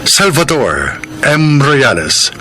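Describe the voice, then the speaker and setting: A man with a Filipino accent announcing a radio drama in theatrical, drawn-out scripted phrases. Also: mid-range voice, very animated, pushed and bright, soft background music.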